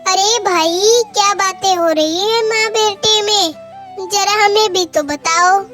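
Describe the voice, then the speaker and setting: Cartoon granny neighbor, busybody matchmaker, extreme high pitch, voiced by a woman. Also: slow. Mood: coaxing. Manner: chatty, coaxing. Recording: clear music bed under the voice.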